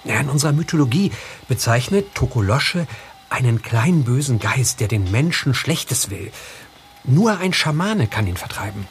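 A man's voice: medium pitch, very animated, normal speed, a little hiss or hum, close to an everyday voice. An actor playing an earnest young African man in a German detective radio play, speaking in precise, formal wording. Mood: serious, explaining.

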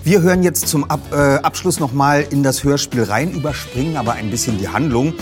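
A man's voice: medium pitch, brisk. A man presenting an audio drama preview in an informal, partly scripted style. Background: guitar music.

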